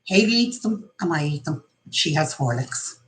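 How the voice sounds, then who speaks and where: A woman's voice: deep voice, lively intonation. A woman in her forties with an Irish accent, hosting a casual live chat at home, talkative and teasing. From Ireland. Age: 40s. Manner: chatty, teasing, quick to laugh. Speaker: vlogger hosting a live stream.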